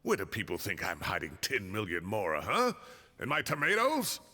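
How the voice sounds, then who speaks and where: A man's voice: on the low side, huge pitch swings, moderate pace, sharp tone, heavily acted. A man voicing a kindly retired old professor who grumbles indignantly in a genteel way. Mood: exasperated. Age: older. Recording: game audio with long reverb.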